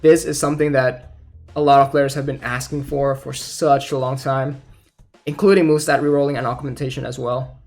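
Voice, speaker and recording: A young man giving casual, informal game news commentary as a YouTuber, thinking out loud in a hesitant way. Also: medium pitch, flat delivery, fairly quick. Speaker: gaming YouTuber. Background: music.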